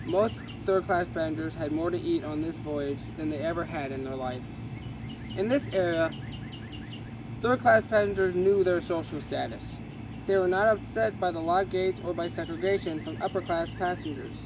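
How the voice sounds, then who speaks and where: A man's voice: high-pitched, moderate pace, background noise. A man reading a history book aloud, stumbling and correcting himself casually as he goes. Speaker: reader.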